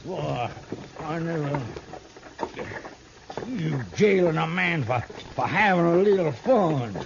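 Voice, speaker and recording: An actor playing a stubborn, shiftless old horse rancher with a folksy Kentucky hill drawl in a 1950s radio western. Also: male voice, on the high side, lively intonation, takes it slowly, vocal fry, full character voice. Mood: indignant. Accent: rural Kentucky hillbilly. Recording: dull, noisy 1950s radio recording.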